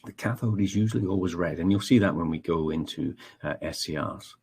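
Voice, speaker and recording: A Scottish man walks through a technical webinar in an unhurried, explanatory way, pausing on ums and checking his listeners follow. His voice sits low with a gravelly, slightly husky grain. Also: a little mellow. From Scotland. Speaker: technical trainer.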